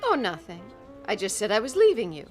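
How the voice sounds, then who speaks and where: A woman's voice: mid-range voice, wildly swooping pitch, a little fast, faint music behind. A woman playing a gangster's defiant, provocative girlfriend in a radio drama. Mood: taunting.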